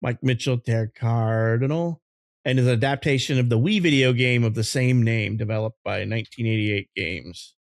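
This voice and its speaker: A man's voice: mid-range voice, moderate pace, no room sound, studio quality. A man hosting a casual chat among friends about games and movies. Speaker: podcast host.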